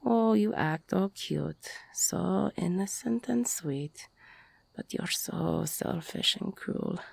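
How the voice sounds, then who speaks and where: A woman playing an older, tough ex-con girlfriend in an intimate audio roleplay, tender, teasing and insecure, confiding haltingly in a husky, half-whispered voice. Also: lowish voice, no room sound, exaggerated voice. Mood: raw, accusing. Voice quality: husky, gravelly, breathy.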